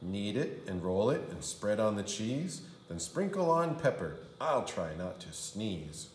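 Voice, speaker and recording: Male storybook reader, very animated pitch, sing-song rhymes, unhurried pace, echoey room. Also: slight background noise. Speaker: kindergarten teacher reading aloud. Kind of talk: expressive children's storybook read-aloud. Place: indoor room. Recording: roomy indoor echo.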